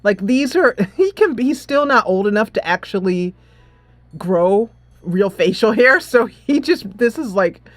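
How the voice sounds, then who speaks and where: A woman gives casual gaming commentary with a very lively, swooping pitch and a giggly manner. Her voice is close and dry, with faint music behind it. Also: unhurried, no room sound. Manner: animated, giggly, reads dialogue aloud. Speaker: gaming channel host. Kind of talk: casual let's play commentary.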